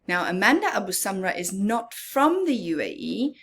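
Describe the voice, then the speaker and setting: A woman hosting a children's story time, reading aloud and pausing to explain things simply and ask young listeners questions. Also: mid-range voice, sing-song, fairly bright tone, slightly rough.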